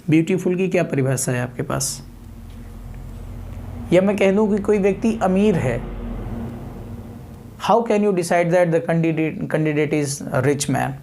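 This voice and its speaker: A man's voice: on the high side, gravelly, darkish tone. A man lecturing as a physics teacher, repeating key terms, asking rhetorical questions and mixing in English technical words. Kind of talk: exam coaching lecture with everyday examples.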